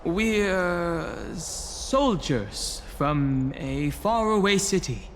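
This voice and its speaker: A man playing a polite, cautious traveler in a video game, speaking in a measured, hesitant, thoughtful way. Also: on the high side, big pitch swings, slight background noise, exaggerated voice. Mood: polite, flustered. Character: polite, cautious male traveler posing as a soldier. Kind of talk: video game character dialogue. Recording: music behind the voice.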